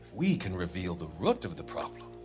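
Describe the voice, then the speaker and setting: An actor playing a smooth, self-assured doctor in a game drama, measured and condescending. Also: male voice, deep voice, wildly swooping pitch. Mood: measured, patronizing. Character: smooth, patronizing male doctor, calmly evasive and self-assured. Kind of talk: dramatic game cutscene acting. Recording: music under the voice. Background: music.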